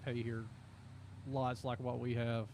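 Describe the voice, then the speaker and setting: A man's voice: mid-range voice, level tone, takes it slowly, darkish tone, slight background noise. A man, rambling casually and chuckling as he streams a game and answers chat questions. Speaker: streamer and video creator. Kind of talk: casual live-stream chat while playing.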